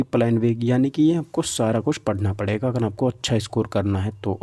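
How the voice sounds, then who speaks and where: A man's voice: mid-range voice, mellow. A man giving an informal exam-prep lecture, instructive, mixing in English terms and adding frequent check-in tags. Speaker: exam-prep physics teacher. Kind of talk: informal lecture going through a syllabus.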